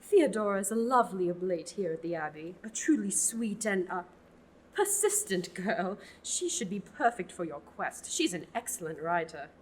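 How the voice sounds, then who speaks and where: A teenage actor playing an exasperated, theatrical abbess eager to be rid of a pesky oblate. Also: female voice, lowish voice, sing-song, normal speed, a little hiss or hum. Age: teens. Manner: sighing and theatrical. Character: exasperated, fussy middle-aged abbess nun. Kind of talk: student radio play.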